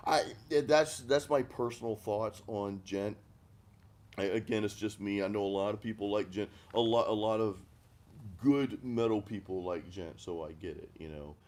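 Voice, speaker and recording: A middle-aged man from the American Deep South hosting a casual music chat, rambling and self-deprecating with frequent restarts. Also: normal speed, a little mellow. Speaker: host of a music chat stream. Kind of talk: casual chat over beers, reading live comments.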